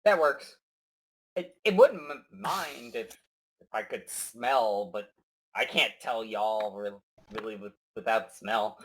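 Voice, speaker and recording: A man playing a tabletop roleplaying game, talking in character with excitable stammering. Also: takes it slowly, slight background noise, low-quality recording. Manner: excitable, stammering, jumpy pitch. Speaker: player.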